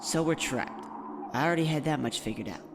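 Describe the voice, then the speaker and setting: A man's voice: on the high side, animated, brisk, noisy background. A man playing an anxious, skeptical teenage boy in a dramatized audiobook, exasperated and doubting. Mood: panicked, resigned.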